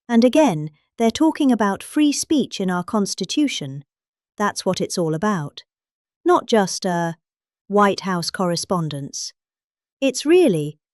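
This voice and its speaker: A woman's voice: mid-range voice, darkish tone, no echo, studio quality. A middle-aged woman with a British accent, giving a dramatized reading of a spoken statement. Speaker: reader of a political statement.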